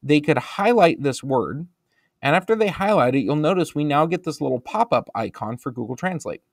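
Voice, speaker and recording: A man's voice: a little high, normal speed, vocal fry, no echo. Dry-sounding man walking through a tutorial. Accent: American. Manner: relaxed, explanatory, frequent ums and uhs. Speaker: instructional technology trainer. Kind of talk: screencast tutorial narration.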